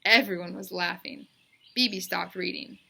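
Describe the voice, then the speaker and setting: A woman's voice: lowish voice, unhurried, creaky, bright, forceful tone. A woman reading a storybook aloud, narrating expressively for children. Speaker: storybook reader.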